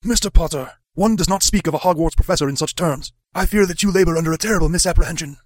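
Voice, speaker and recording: A man voicing a stern old male headmaster wizard in an audiobook reading, with a high, breathy elderly tone. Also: fast talker, dry recording, lightly acted.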